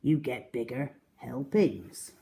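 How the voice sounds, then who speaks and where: A woman's voice: very deep voice, expressive, mellow, voice pushed down, full character voice. Woman voicing a huge boastful greedy storybook crocodile. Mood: gleeful, scornful. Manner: boastful, relishing, drawn-out words. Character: enormous greedy boastful male crocodile, scheming child-eater. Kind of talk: storybook character reading.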